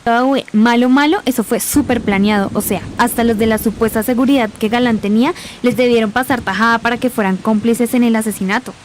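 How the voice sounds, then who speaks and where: A woman's voice: a little high, fairly even intonation, a little fast, hardly any pauses, faint music behind, natural voice. A woman playing a chatty, slangy young granddaughter in an amateur radio drama. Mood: indignant. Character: eager, chatty young Colombian granddaughter, easily impressed.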